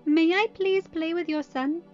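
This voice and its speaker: Woman putting on an excitable, high-pitched teenage princess. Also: unhurried, lo-fi sound, faint music behind. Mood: eager pleading. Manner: exclaiming, playful, eager. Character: excitable, mischievous teenage princess, gleeful and teasing.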